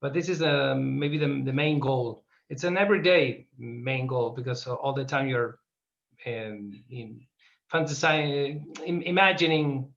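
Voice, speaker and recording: A man's voice: mid-range voice, vocal fry, fairly bright tone. Man, Argentinian accent, native Spanish speaker, hesitant interview answers, slightly dull call audio. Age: middle-aged. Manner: hesitant, halting, searching for words. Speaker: interview guest, filmmaker. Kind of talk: informal interview over video call. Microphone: video call.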